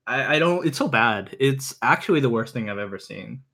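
A man with an average-pitched voice chats as an enthusiast guest on a podcast. He speaks haltingly and rambles, keeping things casual and jokey. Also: animated, vocal fry, fairly bright tone.

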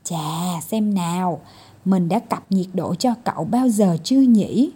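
A woman's voice: fairly low pitch, takes it slowly, mellow, slightly rough, exaggerated voice. A woman voicing a tiny male doctor in a children's tale, patient and coaxing but mildly exasperated. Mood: coaxing, reassuring. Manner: coaxing and scolding.

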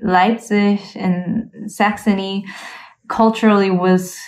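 A woman's voice: medium pitch, flat delivery, slow, darkish tone. Young woman musician, thoughtful and hesitant. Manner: thoughtful, hesitant, many fillers and restarts. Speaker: interview guest, cellist. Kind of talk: casual conversational interview.

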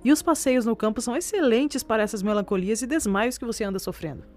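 A woman's voice: a little high, fast talker, darkish tone, soft background music, close to an everyday voice. An actress playing a nosy, knowing old lady companion in a Brazilian radio soap opera, coaxing and insinuating. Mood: knowing, insistent. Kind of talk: radio soap opera acting of a literary classic.

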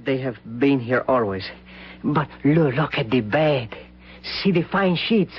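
A man acting in an old-time radio drama as a simple, childlike manservant with a Spanish accent, halting and repetitive, fearful and servile with a cruel streak. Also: medium pitch, lively intonation, slightly rough. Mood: dreamy, servile. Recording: dull old radio broadcast recording.

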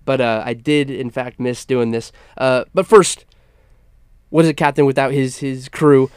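A man's voice: medium pitch, steady tone, measured pace, clean tone, no echo. Young guy hosting campus sports radio, bit stuffy, upbeat, keeps restarting his sentences, dry close studio sound. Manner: upbeat broadcast patter with frequent stammered restarts. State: stuffy nose. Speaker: college radio sports talk host.

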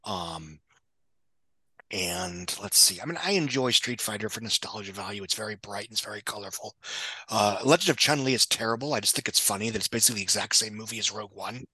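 A man with a forceful, very raspy voice on the low side, recorded close and dry. He talks casually and with strong opinions about films, in a wry, blunt manner. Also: a little fast, no echo, poor audio. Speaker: guest film and box office commentator. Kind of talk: casual opinionated movie discussion.

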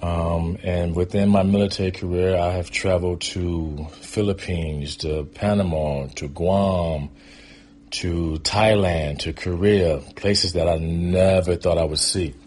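A man's voice: low-pitched, steady tone, unhurried, a little mellow. An American man, around his fifties, tells stories from his own life in a casual, rambling, conversational way. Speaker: guest storyteller. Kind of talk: casual autobiographical storytelling.

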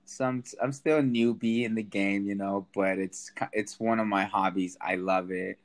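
A man's voice: lowish voice, steady tone, normal speed. A young man from Sacramento, a stand-up comedian, chatting casually as a podcast guest, self-deprecating and laughing often. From Sacramento, United States.

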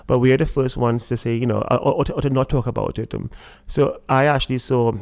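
A man from Trinidad with a Trinidadian accent, a guest talking in a relaxed interview and often pausing with fillers and restarts. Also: mid-range voice, creaky, dry recording. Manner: hesitant, many fillers and restarts. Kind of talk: casual interview talk.

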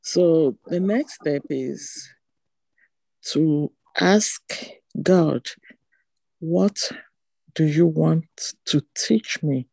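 Slow, hoarse, gravelly woman. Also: low voice, mellow, no echo, low-quality recording. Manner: slow, halting, reflective. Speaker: congregation member. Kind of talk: spoken comment during online service. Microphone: video call.

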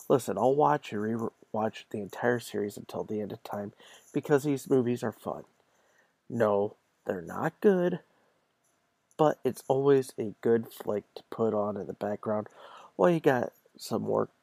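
A man's voice: lowish voice, gravelly, a little mellow, slightly rough, no room sound. A man hosting a podcast solo, talking informally and partly reading from an article. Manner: informal, conversational. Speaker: podcast host.

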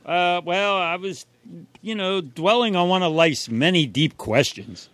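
A man's voice: high-pitched, unhurried, fairly bright tone, no room sound. A man co-hosting a radio show in bantering chat, a folksy jokester with a hesitant delivery.

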